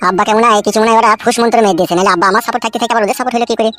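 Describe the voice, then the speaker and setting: A man's voice: sits high, steady tone, very fast, music in the background, natural voice. Man playing a crude, grumbling cartoon husband. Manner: coarse, grumbling. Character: crude, grumbling husband torn between two wives. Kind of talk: amateur comedy cartoon dialogue.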